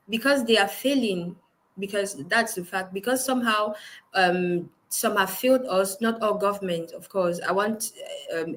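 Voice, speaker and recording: A woman with a Cameroonian accent answering as an interview guest in a measured, careful, conversational way. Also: mid-range voice, fairly bright tone.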